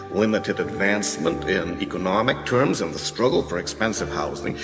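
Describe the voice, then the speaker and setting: A man playing a professor in a radio play, lecturing soberly in a formal, measured, didactic way. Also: deep voice. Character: male professor soberly lecturing on a grave report. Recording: very echoey hall, music clearly behind.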